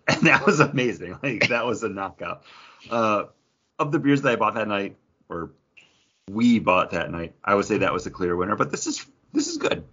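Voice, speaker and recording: Low voiced guy w a bright forceful edge, chatting casually on a podcast, kinda lo-fi sound. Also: sing-song, moderate pace, breathy edge. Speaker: podcast co-host. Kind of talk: casual chat, craft beer review. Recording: dull lo-fi audio.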